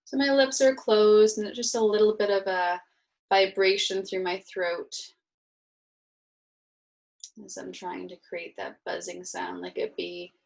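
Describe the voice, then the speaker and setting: Female meditation instructor, mid-pitched with noticeable vocal fry, soothing and unhurried, giving gentle, informal guidance. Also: steady tone.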